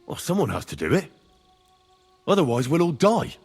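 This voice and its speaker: A man's voice: fairly high pitch, sing-song, normal speed, darkish tone, breathy edge, faint music behind, full character voice. A man playing an earnest, brave young medieval adventurer in a game, plain-spoken and reassuring. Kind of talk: dramatic game cutscene acting.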